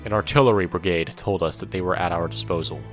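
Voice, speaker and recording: A man's voice: sits low, fast talker, natural voice. An actor playing a wry, world-weary soldier who narrates his war in the first person, sardonic and irreverent, in an audio drama. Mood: grim. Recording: music under the voice.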